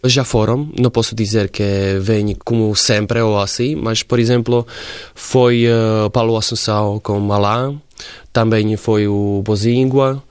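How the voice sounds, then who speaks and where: Slovak-accented man, low dry voice. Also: flat delivery, clear, resonant tone, no echo. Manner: halting, searching for words in a second language. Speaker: interview guest, former footballer. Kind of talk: radio interview.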